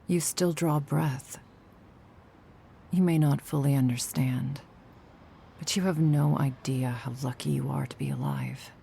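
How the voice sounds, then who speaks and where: Woman acting a low, slow, creaky vampire woman. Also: expressive, warm tone, a little hiss or hum, full character voice. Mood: solemn, wistful. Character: ageless vampire woman gardener, sardonic yet tender, protective. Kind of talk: slow intimate ASMR roleplay acting.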